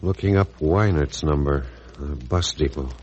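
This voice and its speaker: An earnest American doctor in an old radio serial. His voice is very low and husky, and he sounds like a stubborn, troubled husband who cannot rest. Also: unhurried, a little mellow, highs cut off. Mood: weary, troubled. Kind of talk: 1940s radio acting. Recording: old 1940s radio recording.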